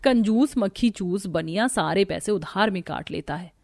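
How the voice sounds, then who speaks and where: A woman's voice: medium pitch, normal speed, a little mellow. A woman narrator reading a scripted story.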